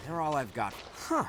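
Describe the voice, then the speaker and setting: Brutale luidruchtige bendebaas. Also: male voice, fairly high pitch, background noise, exaggerated voice. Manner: cocky, loud, jokey. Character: brash, boisterous young male gang boss. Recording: game audio with music. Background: music.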